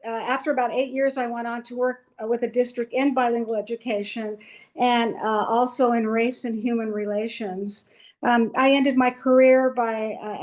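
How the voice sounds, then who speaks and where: A woman in her sixties, a retired school administrator, speaks reflectively on a panel in a somewhat high voice. She recalls her past at an easy, reminiscing pace. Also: a little mellow. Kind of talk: casual panel talk. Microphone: video call.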